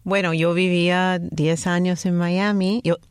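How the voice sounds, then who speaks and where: A woman from New York with an American accent, a native English speaker, speaking Spanish as a guest in a relaxed interview, often restarting her words. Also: lowish voice, a little slow, clean tone, no echo, clean studio sound. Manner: relaxed, hesitant, restarts words, laughs easily. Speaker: guest, music researcher and journalist. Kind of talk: conversational interview.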